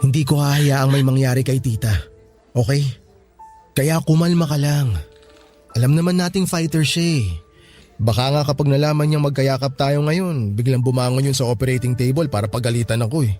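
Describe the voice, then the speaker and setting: An actor playing a calm, reassuring young male hospital worker in a radio drama, speaking soothingly and earnestly. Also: mid-range voice, normal speed, faint music behind, lightly acted. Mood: gently reassuring.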